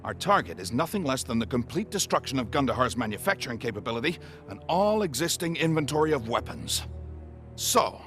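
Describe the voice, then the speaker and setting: Animated, expressive male scientist. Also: medium pitch, a little fast, faint music behind. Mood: composed, purposeful. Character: excitable, learned male scientist mentor, fond and determined. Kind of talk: animated video game cutscene acting.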